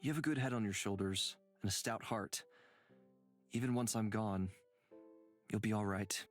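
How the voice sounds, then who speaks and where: Visual novel role, male actor as a gentle man carrying guilt. Lower register, thoughtful, sincere, unhurried in manner. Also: soft background music, lightly acted. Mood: tender. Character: gentle, guilt-ridden man, reflective and kind. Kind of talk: visual novel game voice acting.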